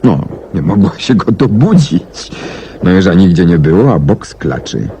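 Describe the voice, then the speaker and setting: Self-assured sleuth for radio drama: deep, deliberate, very expressive delivery, hissy vintage recording. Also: male voice, exaggerated voice. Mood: animated, explanatory. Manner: measured, deliberate storytelling with emphatic swings. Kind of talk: 1970s Polish radio play acting. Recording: noisy old 1970s radio recording.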